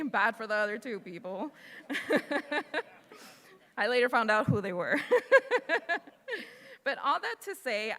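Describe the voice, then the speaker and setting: A woman's voice: medium pitch, bright, forceful tone. A young woman in her twenties, Spanish her first language, giving an earnest, casual motivational speech to students. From United States. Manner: earnest, casual with fillers and laughs. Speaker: guest speaker. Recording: long hall reverb.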